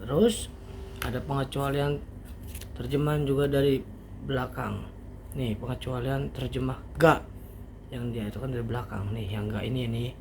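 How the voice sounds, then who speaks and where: A man giving an informal language lesson as a teacher, explaining haltingly step by step with casual asides. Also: mid-range voice, fairly even intonation, creaky. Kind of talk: informal online grammar lesson. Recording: faint background noise.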